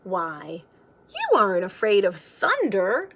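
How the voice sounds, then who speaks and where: Lady reading as a soft gentle old grandma in a kids story, slow and reassuring. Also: mid-range voice, wildly swooping pitch, slightly rough, full character voice. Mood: encouraging. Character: steady, soft-spoken old Russian grandmother, encouraging.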